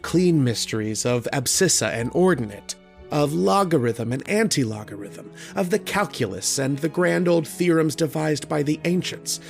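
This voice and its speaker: Radio drama lead: male actor as erudite, lonely lodger, introspective and uneasy, creaky mid-range voice, literate phrasing. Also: medium pitch. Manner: literate, deliberate, introspective, with hesitant starts. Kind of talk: old-time radio drama acting with first-person narration.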